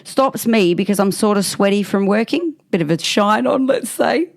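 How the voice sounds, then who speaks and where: A woman with an Australian accent and a mid-pitched voice co-hosts a comedy podcast. She reads listener stories aloud in a casual, chatty way, slipping into mocking little quotes as she goes. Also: animated, moderate pace, creaky. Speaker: podcast co-host. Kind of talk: casual comic chat, reading out listener stories.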